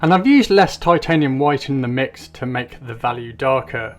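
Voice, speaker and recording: Friendly English painting tutor. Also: male voice, medium pitch, lively intonation, normal speed, soft background music. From England. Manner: friendly, explanatory.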